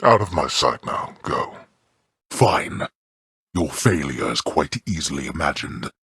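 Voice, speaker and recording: A deep, haughty underworld god. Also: male voice, lively intonation, measured pace, bright, forceful tone, breathy edge, no echo, clean studio sound, full character voice. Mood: cold, lecturing. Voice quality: deep, haughty, clipped and contemptuous. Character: imperious male god of the underworld, cold and disdainful. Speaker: underworld ruler scolding his son. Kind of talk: game character voice lines.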